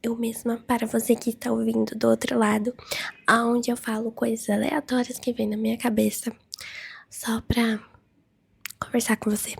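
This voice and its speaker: Brazilian woman, podcast host, hoarse from flu; chatty breathy whisper, fry-heavy, slightly high-pitched. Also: level tone, moderate pace. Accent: Brazilian Portuguese. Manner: chatty, giggly, talks straight to listeners. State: hoarse, losing her voice from a flu. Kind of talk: casual voice-message chat.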